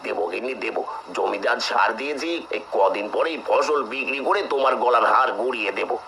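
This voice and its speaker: A man playing a village farmer and family man in an animated folk tale, with expressive, declamatory delivery. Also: mid-range voice, brisk, rough recording. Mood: earnest. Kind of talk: Bengali cartoon dialogue. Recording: clear noise behind the voice.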